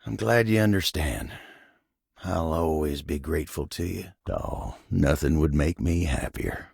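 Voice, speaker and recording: A man voicing a gentle, lovestruck living table in a video game, with a gravelly, husky Southern American drawl and a bashful, earnest, folksy manner. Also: a bass voice, no room sound, clean studio sound, exaggerated voice. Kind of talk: video game character acting.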